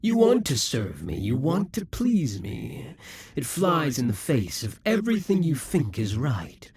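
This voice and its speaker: A man's voice: medium pitch, huge pitch swings, unhurried, creaky, a little mellow, slightly rough, dry recording, studio quality, heavily acted. A man voicing a proud, possessive male demon king who taunts and schemes, sometimes dropping to a whisper. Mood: seductive menace. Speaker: voice actor in an audio roleplay. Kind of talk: audio roleplay acting.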